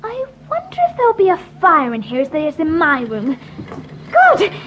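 A woman's voice: high-pitched, huge pitch swings, moderate pace, a bit bright, a little hiss or hum, exaggerated voice. An actress playing an eager, imaginative little girl in an audiobook drama. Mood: delighted.

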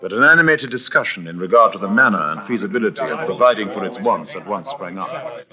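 A man narrating a frontier tale as a radio-drama storyteller, measured, theatrical and precise in his wording. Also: fairly low pitch, lively intonation, over music, close to an everyday voice. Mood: measured, dramatic. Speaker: narrator and character actor. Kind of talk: dramatic scripted storytelling, old-time radio. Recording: dull vintage radio recording.

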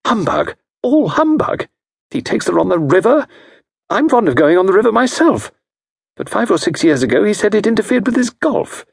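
A man's voice: high-pitched, vocal fry, breathy edge, no echo, clean studio sound, pitched above the performer's own voice, heavily acted. A man voicing an aggrieved middle-aged wife, bursting out with jealous complaints. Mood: indignant. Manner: rushed, complaining outbursts.

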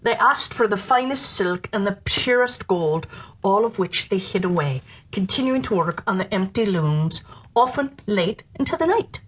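A woman reads as a children's audiobook storyteller. Her delivery is theatrical and playful. She shapes each phrase deliberately and expressively, at an ordinary pitch for a woman. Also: animated, breathy edge.

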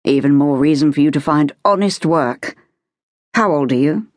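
A narrator playing an educated, patient gentleman academic in an audiobook, speaking in a measured, formal and precise way. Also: medium pitch, dry recording, natural voice. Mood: stern concern.

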